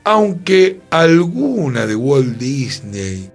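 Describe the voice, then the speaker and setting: Un hombre con acento rioplatense que opina en la radio de manera enfática y reflexiva, con preguntas retóricas. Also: male voice, medium pitch, very animated, extremely slow, soft background music. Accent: Argentine Rioplatense Spanish. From Argentina. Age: middle-aged or older. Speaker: radio host. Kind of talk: opinionated radio commentary.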